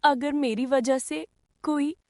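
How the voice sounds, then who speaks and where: A woman playing a humble, emotional young bride in an animated story, speaking earnestly and hesitantly. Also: sits high, slow, no room sound, studio quality. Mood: overwhelmed. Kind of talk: animated story drama acting.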